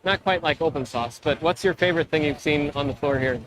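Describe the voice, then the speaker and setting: A man's voice: a little high, brisk, rough recording. A man co-hosting a casual livestream interview, chatty and enthusiastic, with frequent restarts. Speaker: livestream co-host interviewing creators. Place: busy expo floor.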